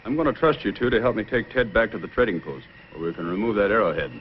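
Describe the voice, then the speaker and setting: An actor playing a firm, fair, heroic Mountie sergeant in an old-time radio adventure, speaking with decisive authority. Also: male voice, on the low side, expressive, fast talker, a little hiss or hum, close to an everyday voice. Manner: commanding, decisive. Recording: muffled vintage radio recording.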